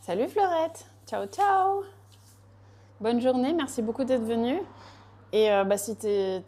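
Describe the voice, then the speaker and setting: A woman's voice: a little high, warm tone. A woman raised in France, chatting casually with live viewers while she bakes, greeting them by name and laughing often.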